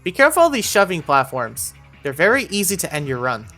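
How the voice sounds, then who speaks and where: A woman's voice: lowish voice, very animated, quick pace, a bit bright, faint music behind. A woman narrating an instructional video game walkthrough, giving encouraging step-by-step directions.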